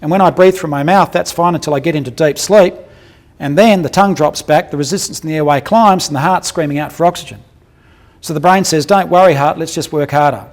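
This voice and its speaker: A man's voice: a little high, a little fast. A man giving a clinical dental lecture built around case examples, talking bluntly and colloquially, cracking jokes and putting questions to his audience.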